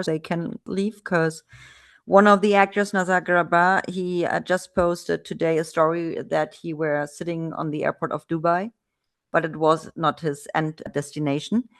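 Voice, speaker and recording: German-accented woman host, lowish voice, chatty over a video call, restarts often. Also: steady tone, unhurried, a bit bright. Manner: chatty, frequent restarts and fillers. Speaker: podcast host. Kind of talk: casual video-call interview.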